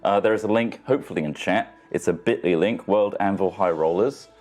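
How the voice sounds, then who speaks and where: A man's voice: low-pitched, brisk, a little mellow, soft background music. A man giving a casual, comic sponsor read as a member of a show's cast. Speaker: show cast member reading sponsor announcements.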